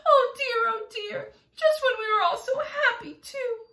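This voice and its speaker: A woman puts on a distraught little lady ladybug from a storybook, wailing tearfully. The voice sits very high and bright, ringing in an echoey room. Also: a little slow, far from the mic, heavily acted. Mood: tearful despair. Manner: wailing, exclaiming.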